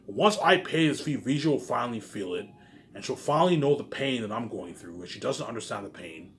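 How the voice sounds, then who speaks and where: A man in an unscripted, repetitive and halting monologue to viewers, sounding aggrieved and letting phrases trail off quietly. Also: medium pitch, lively intonation, a little hiss or hum. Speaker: online vlogger.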